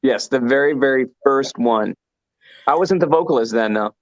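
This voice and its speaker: A man's voice: mid-range voice, normal speed, no room sound. Joking man, dull lo-fi call audio. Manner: joking, casually profane. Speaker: band vocalist, interview guest. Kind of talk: casual banter on an online music show.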